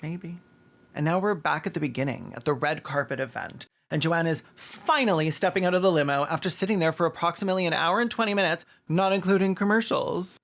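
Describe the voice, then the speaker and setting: A man's voice: on the high side, brisk, vocal fry, a bit bright. A man delivering scripted commentary in a sardonic way, with dry asides and crude humor. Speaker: video essayist and host.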